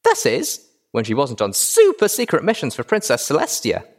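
A man voicing a bubbly, excitable young female pony tour guide in an animated storybook reading, gushing and theatrical. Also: mid-range voice, normal speed, pitched above the performer's own voice. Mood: exuberant, showing off. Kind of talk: animated audiobook character voice.

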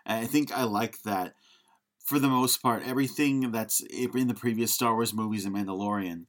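Opinionated, lowish-voiced male podcast co-host. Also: fairly even intonation, normal speed. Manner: opinionated, frank. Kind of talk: casual film critique.

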